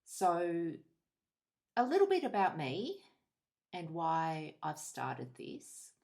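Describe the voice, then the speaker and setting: Low-voiced Australian woman, unhurried and creaky. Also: huge pitch swings, a little mellow. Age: 50s. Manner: candid, measured, pausing between short phrases. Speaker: podcast host. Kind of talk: reflective personal monologue.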